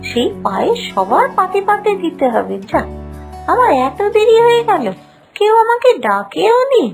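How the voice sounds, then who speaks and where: A woman voicing a meek, anxious young bride in an animated fairy tale, apologetic and plaintive. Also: very high-pitched, normal speed, vocal fry, soft background music, heavily acted. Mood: flustered.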